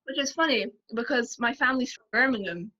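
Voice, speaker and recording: A young woman with a softly posh accent that blends English and Scottish, her voice bright and forward. She talks in an easy, chatty way, full of "like" and quick asides. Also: on the high side, animated, muffled recording. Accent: mild posh English-Scottish mix. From Scotland, Aberdeenshire. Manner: chatty, frequent "like" and quick laughs. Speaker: guest. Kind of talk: casual conversation.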